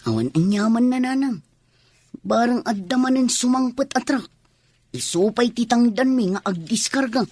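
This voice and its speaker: An actress playing a caring, hardworking young son or daughter in an Ilocano radio drama. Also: female voice, a little high, animated, moderate pace, a little mellow, breathy edge, dry recording. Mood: caring, reassuring. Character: dutiful, caring, hardworking young child of ailing mother.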